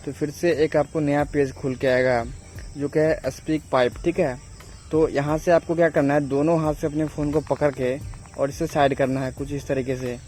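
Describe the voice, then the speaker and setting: A man's voice: on the high side, darkish tone. A man hosts a step-by-step phone tutorial in a repetitive, instructional way with frequent check-in tags. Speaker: tech tutorial host.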